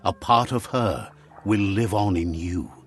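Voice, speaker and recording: A man voicing the narrator of a video game, a wise storyteller speaking in slow, measured sayings. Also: deep voice, lively intonation. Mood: calm, sage. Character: calm wise male narrator of a game world. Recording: game audio with clear music behind.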